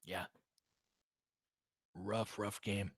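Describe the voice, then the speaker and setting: A man doing casual live basketball play-by-play as a fan analyst, in clipped phrases, swinging between muttered asides and excited calls. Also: on the low side, extremely slow, creaky, husky, no echo, studio quality.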